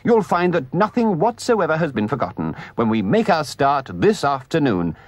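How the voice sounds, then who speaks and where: A man voicing a boastful, excitable toad gentleman from a storybook, with a theatrical, effusive and pompous manner. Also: medium pitch, normal speed, dry recording. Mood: triumphant. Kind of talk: storybook character voice.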